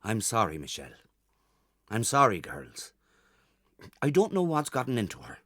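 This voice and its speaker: A man's voice: on the low side, wildly swooping pitch, moderate pace, fairly bright tone, no echo, clean studio sound, full character voice. A man acting a flustered father who tries to be firm in a children's audio drama. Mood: flustered, apologetic.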